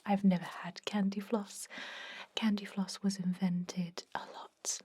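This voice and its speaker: A woman playing a chatty but gently stern vampire dentist in a whispered ASMR roleplay, rambling in a confiding way with a breathy voice. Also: medium pitch, little pitch movement, unhurried. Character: chatty long-lived female vampire dentist, garlic-obsessed, gently stern. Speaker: ASMR performer.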